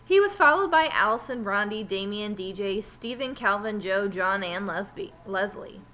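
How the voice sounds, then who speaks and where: A woman teacher reading a children's story aloud with animated, emphatic delivery. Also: mid-range voice, moderate pace.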